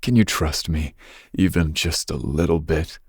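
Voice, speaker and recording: A man playing a dangerous, possessive young mafia boss's son in an intimate ASMR roleplay, speaking breathily with smooth, teasing menace. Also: measured pace, no room sound, studio quality, heavily acted. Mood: threatening. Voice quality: breathy, husky low voice. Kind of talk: ASMR roleplay, intimate second-person monologue.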